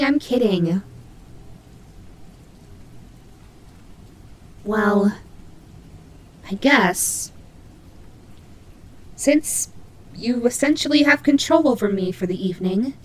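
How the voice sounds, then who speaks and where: A woman's voice: fairly high pitch, a little hiss or hum. A woman playing a prickly but caring female nightmare demon rabbit in an audio roleplay, speaking to the listener with hesitant, teasing, flustered delivery. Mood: teasing, amused.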